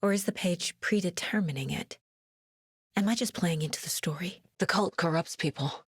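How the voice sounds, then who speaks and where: A woman playing a determined, sharp investigator in a horror video game, measured and introspective, often as if talking to herself. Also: on the low side, breathy edge, no echo, studio quality. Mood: brooding, quiet. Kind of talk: cinematic video game acting, naturalistic.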